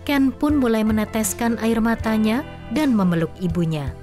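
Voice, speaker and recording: A woman giving scripted, expressive storytelling narration for an audio drama. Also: on the high side, moderate pace, faint music behind.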